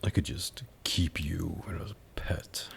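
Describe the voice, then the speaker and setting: A man playing a haughty, predatory demon in an ASMR roleplay, taunting and flirting in a condescending purr that dips into breathy whispers. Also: low voice, slow pace, a bit bright, full character voice. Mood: musing, menacing.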